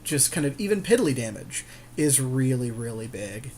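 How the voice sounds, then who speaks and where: A man's voice: medium pitch, sing-song, normal speed, darkish tone, slight background noise. A man co-hosting a gaming podcast, chatting casually with a friend in a rambling, slangy way with some swearing. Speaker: podcast co-host.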